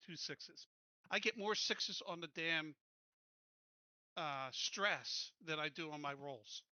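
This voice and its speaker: A man's voice: on the high side, takes it slowly, sharp tone, dry recording. A man chatting casually as a player in an online tabletop game. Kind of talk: casual chat. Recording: dry, slightly dull audio.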